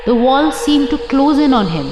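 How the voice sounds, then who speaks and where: In a big echoing space with loud music, a woman narrates a horror tale. Her voice is fairly high, and she tells it with suspense and drama, like a scripted audiobook. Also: animated, moderate pace. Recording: echoey long reverb under loud music.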